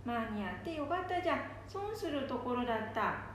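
In a very echoey room, a woman plays a high-voiced elderly grandmother from a radio play. She speaks in Nambu dialect, trusting and pleased with herself. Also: sing-song, a little fast. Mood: pleased, confident. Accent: Nambu dialect of northern Japan. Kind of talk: amateur radio drama acting. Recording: echoey room with faint background noise.

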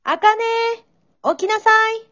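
A woman's voice: very high-pitched, unhurried, dry recording, dull audio. A woman playing an impatient, nagging mother in an amateur radio drama, calling and chiding in a sing-song way.

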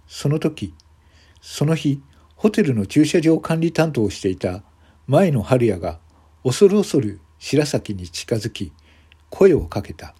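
A man's voice: medium pitch, very animated, a little slow, mellow, breathy edge. A man reading scripted story narration as the narrator of a radio drama.

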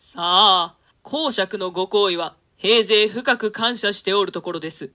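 A woman's voice: medium pitch, a little slow, bright, forceful tone. A woman voicing a trusting, loyal male lord in a play reading, earnest and formal.

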